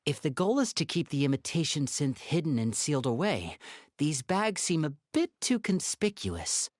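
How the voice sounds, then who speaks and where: An actor playing a poised, clever young male investigator in a video game, speaking in a smooth, deliberate, musing way. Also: a little high, sing-song, no room sound, studio quality. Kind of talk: scripted video game voice acting.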